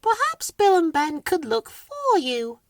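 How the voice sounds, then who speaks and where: A woman voicing a kindly, giggly female weed with a sweet, drawn-out manner in a children's story. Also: very high-pitched, very animated, dry recording, studio quality, voice pushed up, full character voice.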